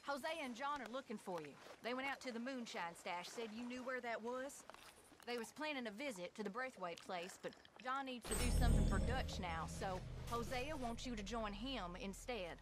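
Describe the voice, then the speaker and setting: A woman's voice: on the high side, moderate pace, over music, lightly acted. An actress playing a friendly, plain-spoken young woman from an outlaw camp, folksy and colloquial, in a video game. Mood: friendly, matter-of-fact. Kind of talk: video game cutscene acting.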